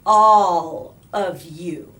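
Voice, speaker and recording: A woman's voice: fairly low pitch, drawn-out words, a bit bright. A woman voicing fed-up, commanding schoolteachers addressing a class in a children's storybook. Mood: announcing, firm. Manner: emphatic, commanding. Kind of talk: children's storybook read-aloud.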